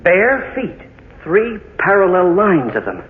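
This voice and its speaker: A man's voice: high-pitched, sing-song, slow, heavily acted. An actor playing an agitated, horrified man in an old radio horror drama. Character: horrified, agitated man at a haunted death scene. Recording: tinny old radio recording.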